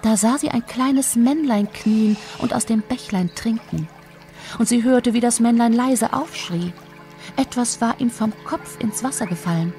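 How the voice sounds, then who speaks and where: A woman narrator telling a scripted German fairy tale in a measured, expressive storytelling manner. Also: fairly high pitch. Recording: music behind the voice.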